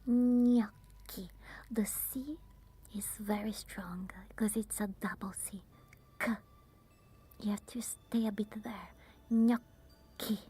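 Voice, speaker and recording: A woman with an Italian accent, softly whispering while chatting with viewers on an ASMR live stream. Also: mid-range voice, fairly even intonation, slow pace, long pauses, slightly rough. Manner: soft whispering.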